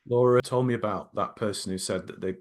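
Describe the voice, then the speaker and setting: A middle-aged man with a deep, low voice co-hosts a relaxed podcast conversation. He talks haltingly, often doubling words and restarting his sentences as he gathers his thoughts. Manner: hesitant, many repeated words and restarts. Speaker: podcast co-host. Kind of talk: casual workplace podcast chat.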